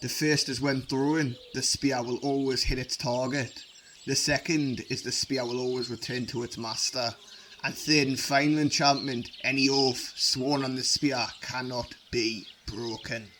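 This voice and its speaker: Earnest dwarven craftsman voiced by a man, mid-pitched, formal and measured, amateur fantasy audio drama with faint outdoor noise. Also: steady tone, a bit bright, lightly acted. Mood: proud, ceremonial. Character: earnest male dwarf smith presenting enchanted gifts.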